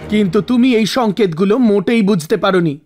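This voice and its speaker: An actor voicing a stern, commanding male god of death who speaks formally and scolds with authority in an animated mythological story. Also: mid-range voice, brisk, faint music behind, close to an everyday voice. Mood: stern, rebuking.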